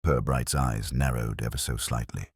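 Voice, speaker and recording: A man's voice: rumbling low, fairly even intonation, normal speed, slightly rough, dry recording, clean studio sound. A man reading a mystery story as scripted narration, in the manner of an audiobook narrator.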